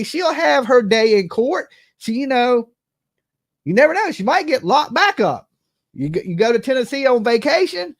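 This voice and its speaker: Casting: livestream gossip commentator, mid-range voice, brash and sweary, conversational, punctuates points with "right?" and "okay?". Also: medium pitch. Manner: brash, profane, tags statements with "okay?" and "right?". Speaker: livestream host.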